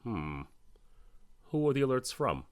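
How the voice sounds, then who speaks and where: An actor playing a stern, calculating government director in an audio drama, clipped, deliberate and authoritative. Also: male voice, mid-range voice, sing-song, no echo, clean studio sound. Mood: brisk, probing.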